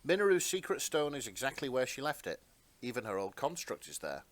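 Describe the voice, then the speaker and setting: A man narrating fan fiction as a podcast host, with expressive, sentimental storytelling. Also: medium pitch, brisk.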